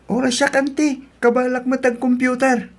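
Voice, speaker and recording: Nagaralgal a managraem nga agtutubo nga akto ti babai. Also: female voice, high-pitched, flat delivery, moderate pace, a little mellow. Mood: hopeful. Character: polite, hopeful teenage son in a province family. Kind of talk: Ilocano radio drama acting.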